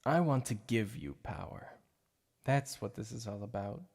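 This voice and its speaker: A man voicing a shy, obsessive male supervillain in an ASMR roleplay, soft-spoken and stammering over his slips of the tongue. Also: lowish voice, expressive, moderate pace, gravelly, slightly rough, heavily acted. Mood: softly coaxing.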